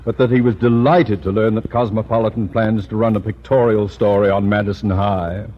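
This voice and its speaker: Blustering middle-aged American principal played by a man, pompous and formal, raspy, muffled vintage radio sitcom sound. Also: fairly low pitch, steady tone, fairly quick, natural voice. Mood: aggrieved. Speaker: supporting actor in a radio sitcom. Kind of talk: 1940s-50s American radio comedy acting. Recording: muffled old-time radio recording.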